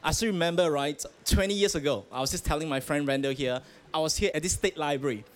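A man's voice: fairly high pitch, quick pace. A man from Malaysia, a pastor, answering interview questions earnestly, with hesitant restarts and fillers, outdoors at a festival. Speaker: pastor, guest.

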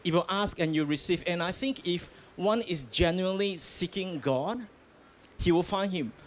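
Earnest Malaysian pastor, somewhat high voice. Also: male voice, moderate pace. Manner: earnest, hesitant, frequent restarts and fillers. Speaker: pastor, guest. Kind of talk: casual interview answers. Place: outdoors at a festival.

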